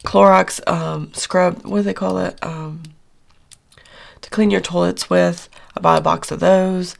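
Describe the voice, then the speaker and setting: A woman with a low, gravelly voice that is still hoarse from losing it. She chats casually and rambles through her budget numbers, filling pauses with ums and uhs. She sounds middle-aged and relaxed. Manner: chatty, rambling with many fillers. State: hoarse, recovering from lost voice. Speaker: budgeting channel host.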